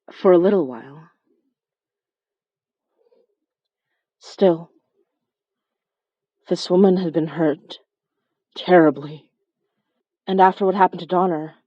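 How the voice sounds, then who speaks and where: A woman playing a calm, wry young investigator. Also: fairly low pitch, measured pace, long pauses, lo-fi sound, lightly acted. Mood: somber. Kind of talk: audio horror drama acting.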